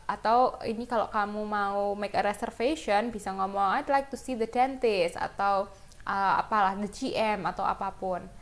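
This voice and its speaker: A woman's voice: medium pitch, normal speed. A woman with an Indonesian accent teaching an English lesson informally, explaining example phrases and talking directly to students.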